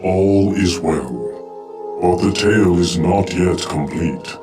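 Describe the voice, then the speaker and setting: An all-knowing observer narrates fates slowly and solemnly. The low voice is formal and portentous, set a little far off over music. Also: male voice. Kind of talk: scripted game narration. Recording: distant-sounding voice with music behind.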